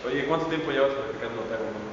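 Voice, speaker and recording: A man's voice: mid-range voice, fast talker. A man in his twenties with a Mexican accent, interviewing athletes on a campus with teasing, playful questions. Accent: Mexican Spanish. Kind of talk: casual joking interviews. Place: outdoors on a university campus. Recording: dull lo-fi sound, loud outdoor noise.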